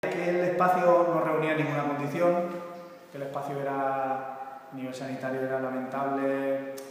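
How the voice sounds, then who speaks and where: A man in a documentary interview, speaking deliberately and searching for words. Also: mid-range voice, flat delivery, very echoey, long reverb tail, distant mic, slight background noise.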